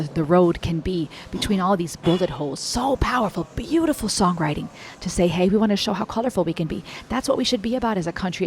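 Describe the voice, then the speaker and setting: A young woman with an American English accent speaks to her viewers in a lowish voice, reflective and earnest as she reacts to a song. A faint room hum sits beneath her. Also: darkish tone. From Germany and the US, Cuban-American family. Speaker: music reaction YouTuber. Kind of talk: reaction commentary.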